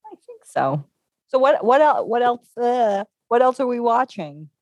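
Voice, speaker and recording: A woman co-hosting a library podcast in casual chat, giggly and animated, laughing often. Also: on the high side, measured pace, no echo. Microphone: headphones and studio microphone.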